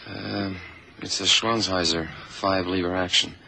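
A practical FBI sidekick in an old radio drama. His voice sits low and cuts through brightly. He is terse and matter-of-fact, with slight noise on the recording. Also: steady tone, unhurried, creaky, exaggerated voice, poor audio.

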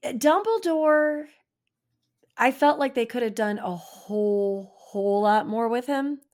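A woman co-hosting a podcast in casual chat, with a naturally raspy voice that sounds strained and tired, chiming in with short remarks that trail off. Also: fairly high pitch, unhurried, a little mellow. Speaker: podcast co-host.

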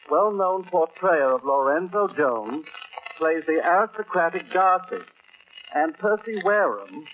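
A man hosting in scripted 1940s radio announcing style, formal and measured. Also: high-pitched. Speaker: announcer, programme host. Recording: muffled, noisy 1940s radio recording.

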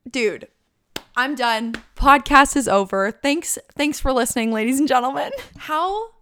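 A woman's voice: sits high, measured pace, sharp tone, smooth, dry recording. A woman in her twenties hosting a casual solo podcast, chatty and candid, leaning on "like" and "literally" and laughing often. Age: 20s. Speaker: podcast host.

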